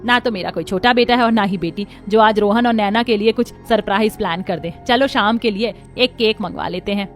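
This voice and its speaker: A woman's voice: mid-range voice, a little fast, few pauses, faint music behind, lightly acted. A woman voicing a kindly, caring middle-aged mother-in-law in a cartoon story. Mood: fond.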